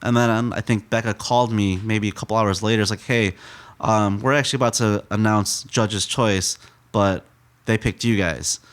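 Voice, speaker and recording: A man in his thirties with a lowish voice tells stories in an easy, meandering way. His delivery is relaxed and full of little fillers. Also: moderate pace. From United States. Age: 30s. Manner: relaxed, rambling with frequent fillers. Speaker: donut shop owner. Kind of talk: casual interview storytelling.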